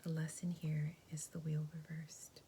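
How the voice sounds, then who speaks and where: A woman giving a tarot reading in a soft, gentle, reassuring whisper. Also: deep voice, monotone, measured pace, noisy background. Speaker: tarot reader.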